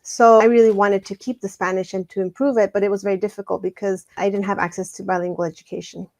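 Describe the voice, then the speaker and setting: A woman's voice: mid-range voice, steady tone, quick pace. Woman guest academic, from Mexico, earnest reflective tone, mid voice. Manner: warm, earnest, reflective storytelling. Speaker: guest scholar. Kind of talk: conversational interview.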